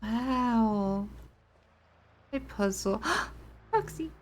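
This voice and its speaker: A woman's voice: a little high, animated, very slow, a little mellow. A woman giving casual, giggly gameplay commentary, exclaiming and reading game text aloud. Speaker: game streamer. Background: game music.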